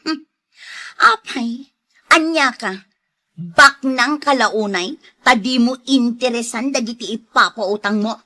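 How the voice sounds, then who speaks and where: A woman's voice: fairly high pitch, slow pace, bright, forceful tone. A woman acting a bossy, merciless moneylender and nagging wife in a radio drama, with a scolding, demanding manner. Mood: nagging, scornful.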